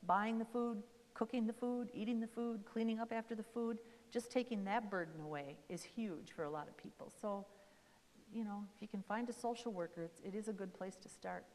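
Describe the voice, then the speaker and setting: A woman's voice: medium pitch, fairly quick, a little mellow. A woman social worker talking casually at a church caregiver seminar, friendly and rambling with many fillers. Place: church meeting hall. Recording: echoey hall.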